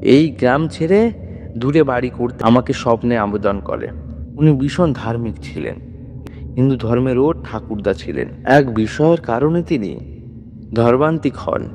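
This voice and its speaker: Casting: male reader as kindly old village widow, sorrowful and grave, lively intonation, ghost-story setting, long reverb with background noise. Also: medium pitch, measured pace. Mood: grave, eerie. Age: older.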